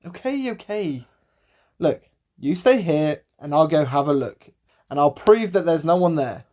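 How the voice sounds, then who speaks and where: A woman's voice: sits low, expressive, unhurried, darkish tone. A woman acting a cocky, sceptical young adventurer with sarcastic banter in an amateur radio play. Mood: mocking. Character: cocky, sceptical, bold young adventurer who loves to mock.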